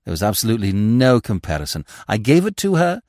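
A man voicing a suave, unflappable gentleman in an audiobook, blandly cordial and grandiose in his florid speech. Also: fairly low pitch, big pitch swings, fast, dry recording, clean studio sound. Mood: grandly earnest. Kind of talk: audiobook character voice.

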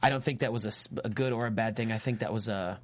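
A man co-hosting a casual TV recap chat, playful and quick with jokes. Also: on the low side. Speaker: TV recap show co-host.

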